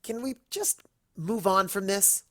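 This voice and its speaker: A woman's voice: medium pitch, measured pace, a bit bright, pitched above the performer's own voice, close to an everyday voice. Woman as an exasperated, scolding girl pony. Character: stern, exasperated young female cartoon pony.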